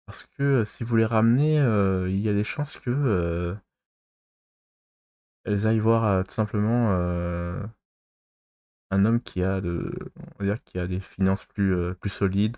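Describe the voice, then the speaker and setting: A man from France giving advice in a casual monologue to his viewers, halting and rambling, pausing constantly and losing his thread. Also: fairly low pitch, a little mellow, dry recording, studio quality. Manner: loses his thread mid-talk. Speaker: vlogger giving dating advice.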